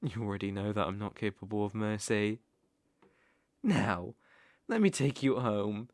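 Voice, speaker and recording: A man's voice: on the low side, measured pace, no room sound, lightly acted. A British man playing a cruel, doting sorcerer in an ASMR roleplay, cooing baby talk with smug menace. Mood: doting, menacing. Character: gloating, doting, cruel male sorcerer captor.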